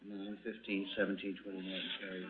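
An actor playing a meek, good-natured, deferential clerk in a vintage radio drama. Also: male voice, low voice, completely flat delivery, a little fast, a crowd in the background, close to an everyday voice. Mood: absorbed. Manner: deferential, timid. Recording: muffled old radio broadcast, noisy background.

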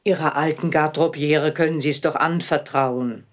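An actress playing an old wardrobe maid in an audio drama, devoted and curious, pleading and insistent. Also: female voice, low voice, fairly even intonation, normal speed. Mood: insistent, reproachful. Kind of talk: German audio drama acting.

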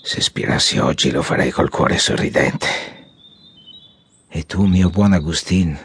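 An actor in an audiobook drama playing an ailing, proud old general, commanding and grandiloquent in manner. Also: male voice, low-pitched, a little slow, breathy edge, exaggerated voice. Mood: weary, content. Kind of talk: audiobook radio drama acting. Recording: music behind the voice.

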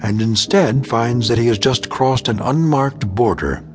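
A man acting as the narrator of an eerie radio drama, solemn, measured and portentous. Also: mid-range voice, over music, lightly acted. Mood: solemn, ominous. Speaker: host narrator of radio anthology.

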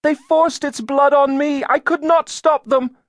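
A man's voice: very high voice, steady tone, measured pace, no echo, voice pushed up. A man voicing a frightened sixteen-year-old Puritan girl who testifies in a fearful, trance-like way. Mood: entranced, fearful.

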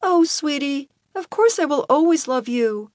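A woman's voice: sits high, lively intonation, unhurried, a little mellow, dry recording, clean studio sound, voice pushed up. A woman voicing a loving, emotional mother, tender and anxious for her child, in an audiobook story. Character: loving, distressed mother soothing and pleading.

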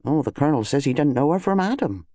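A man's voice: on the high side, expressive, quick pace, dark tone, no room sound, clean studio sound, natural voice. A man playing an officious yet deferential English village police constable in an audiobook story. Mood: intrigued.

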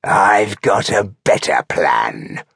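A man's voice: lowish voice, very animated, vocal fry, fairly bright tone, dry recording, studio quality, heavily acted. A man voicing a brutal, boastful orc chieftain in a fantasy audiobook, with a growly snarl and a commanding, menacing manner. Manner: commanding, menacing, drawn-out delivery. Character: brutal boastful male orc chieftain rallying his army.

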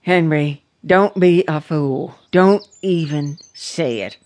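A woman's voice: sits low, slow, darkish tone, slight background noise. An actress playing a devout, anxious middle-aged farm mother in a radio drama, with a rural American accent and an earnest, admonishing manner. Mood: resigned, grave. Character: plain-spoken, devout, anxious farm mother of a young soldier.

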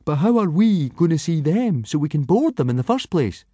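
A man's voice: a little high, sing-song, normal speed, mellow, slightly rough, dry recording, voice pushed up. Man acting a skinny, anxious Viking boy. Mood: logical, doubtful.

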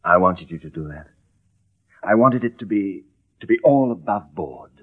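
An actor playing an ardent, exuberant gentleman in a radio drama, theatrical and exclamatory. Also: low voice, lively intonation, normal speed, breathy edge. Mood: tender, adoring. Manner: theatrical, exclamatory, swinging from tender to jaunty. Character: ardent, exuberant gentleman lover, boyishly teasing. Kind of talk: early radio drama acting. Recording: tinny, band-limited old radio recording.

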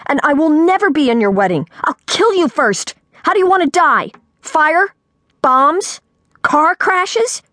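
Woman putting on a furious, high-pitched teenage girl. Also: expressive, a little slow, no echo, clean studio sound, heavily acted. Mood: furious, threatening. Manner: yelling, indignant. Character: furious, dramatic teenage girl confronting her father.

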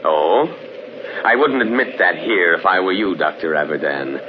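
An actor playing a smug, patronizing official in an old-time radio drama, in a conversational manner. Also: male voice, deep voice, moderate pace, close to an everyday voice. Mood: knowing. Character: condescending, worldly Imperial official, smugly prejudiced. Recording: dull old radio recording, faint noise.

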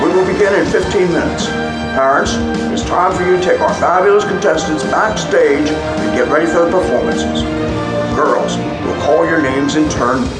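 An actor playing a flamboyant, gushing head judge who announces a pageant in a theatrical, singsong, showy way for an audio drama. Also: male voice, on the high side, lightly acted. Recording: echoey public-address hall, loud music behind.

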